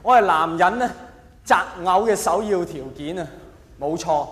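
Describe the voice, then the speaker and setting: Man, Hong Kong Cantonese accent, stand-up comedian, high voice, mock-earnest emphatic delivery, echoey hall. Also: moderate pace, fairly bright tone. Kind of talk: stand-up comedy monologue to a live audience. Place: large indoor venue with live audience. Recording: echoey hall with audience noise. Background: audience laughter and crowd noise.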